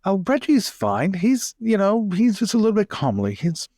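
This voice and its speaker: A man's voice: high voice, huge pitch swings, moderate pace, a little mellow, no echo, full character voice. A man playing a wry companion in a role-play drama, making teasing asides.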